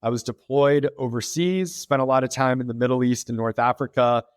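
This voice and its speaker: A man's voice: medium pitch, steady tone, brisk, smooth, no room sound. Dry-sounding man explaining at length. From United States. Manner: explains at length with frequent fillers and restarts. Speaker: podcast guest, freight tech founder. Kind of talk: casual interview talk.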